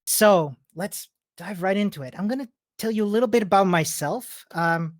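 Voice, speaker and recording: A man's voice: high voice, normal speed, no room sound, studio quality. A man from Chile giving a conference talk as a personal story, in a friendly, informal manner. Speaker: conference speaker, software developer. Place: remote virtual meetup presentation.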